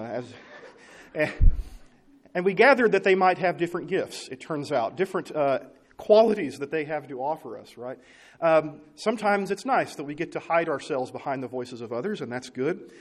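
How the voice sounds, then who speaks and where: A man preaching a conversational sermon to his congregation, often asking them rhetorical questions. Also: medium pitch.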